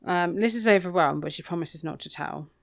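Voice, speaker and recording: A woman's voice: fairly low pitch, fairly quick, gravelly. A woman co-hosting a podcast, casually recapping a book in an informal, matter-of-fact way. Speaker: podcast co-host.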